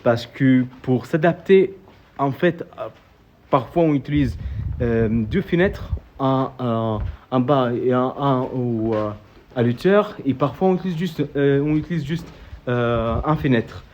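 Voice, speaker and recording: A man's voice: medium pitch, a little slow, darkish tone. A man from Saudi Arabia whose first language is Arabic, guiding a tour in French with an Arabic accent, hesitant, full of fillers and repeated words, recorded outdoors. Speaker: local tour guide vlogger. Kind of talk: casual guided-tour explanation. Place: outdoors at historic old town. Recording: dull, slightly noisy outdoor audio.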